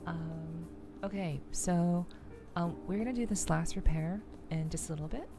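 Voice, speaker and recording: A woman streamer talks to her chat in a low, softly creaky voice. She feels her way gently through casual game commentary in an ASMR style, with music playing behind her. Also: moderate pace. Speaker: streamer, VTuber host.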